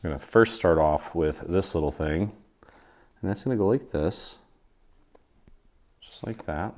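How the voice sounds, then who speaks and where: A man's voice: sits low, unhurried, darkish tone. A man in his forties with an American accent, casually hosting a step-by-step craft tutorial in an informal, easygoing way. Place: indoor craft studio.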